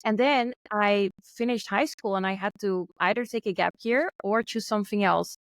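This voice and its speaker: A woman's voice: mid-range voice, normal speed, dry recording. A young woman, an entrepreneur, chatting as a podcast guest in a friendly storytelling way. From Netherlands. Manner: friendly, storytelling, light laughs. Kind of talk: casual interview conversation. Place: Bali, remote call.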